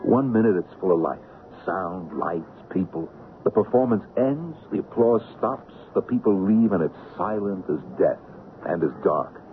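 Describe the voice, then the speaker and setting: An actor playing a persuasive, excitable Broadway producer in an old-time radio drama, with a theatrical, emphatic delivery. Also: male voice, sits low, measured pace. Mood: wistful, coaxing. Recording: noisy, narrow-band old radio recording.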